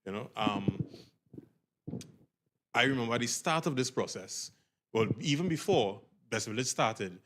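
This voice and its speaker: A man's voice: medium pitch, expressive, unhurried, pauses often, noisy background. A man with a Trinidadian accent chatting casually in an interview as a group mentor.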